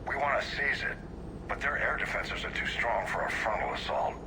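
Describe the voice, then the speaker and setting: A man's voice: medium pitch, lively intonation, normal speed, background noise, close to an everyday voice. A man playing a grave, authoritative senior military officer in a video game, giving formal briefings. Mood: urgent, commanding. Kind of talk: dramatic video game acting.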